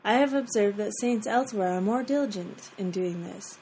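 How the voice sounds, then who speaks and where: A woman chatting casually and reading from a church talk, quick to giggle. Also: mid-range voice, darkish tone, a little hiss or hum. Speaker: podcast co-host. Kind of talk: casual chat and reading aloud.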